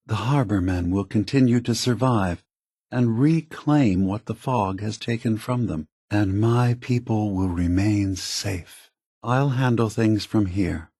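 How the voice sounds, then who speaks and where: A man voicing a weary, solemn android leader in a video game, speaking softly in a measured, grave, diplomatic manner. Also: fairly low pitch, husky, no echo, studio quality, full character voice. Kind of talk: scripted game dialogue.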